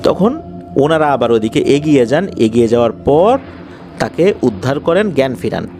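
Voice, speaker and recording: A man's voice: medium pitch, very animated, moderate pace. A man with a Bangladeshi accent narrates paranormal incidents in a conversational, suspenseful way, explaining and repeating details as he goes. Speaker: horror story narrator. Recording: faint music behind the voice. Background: faint background music.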